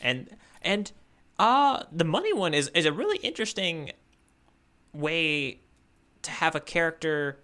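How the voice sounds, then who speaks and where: A man's voice: a little high, sing-song, measured pace, long pauses, pushed and bright, clean tone. A man co-hosting a podcast in casual discussion, often repeating words as he thinks aloud. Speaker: podcast co-host. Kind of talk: casual chat, manga discussion.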